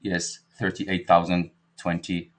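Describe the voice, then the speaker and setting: A fairly low-pitched man with a French accent talks through trading charts as an instructor. His delivery is informal and halting. He often repeats himself and checks in with short questions. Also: flat delivery, a bit bright. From France. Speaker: trading instructor and market analyst. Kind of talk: informal spoken chart analysis lesson.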